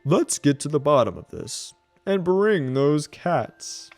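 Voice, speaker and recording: A man acts out a good knight from a children's storybook. He sounds kindly, proper and a little gullible, with a raised pitch and hearty, swooping phrases. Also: big pitch swings, takes it slowly, a little mellow, dry recording, faint music behind, full character voice. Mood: concerned.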